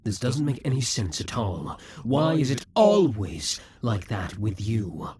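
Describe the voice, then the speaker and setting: A man's voice: fairly low pitch, big pitch swings, measured pace, darkish tone, husky, full character voice. A man voicing a possessive, scheming male demon king in a theatrical monologue for an audio roleplay. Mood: exasperated. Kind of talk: audio roleplay addressing the listener.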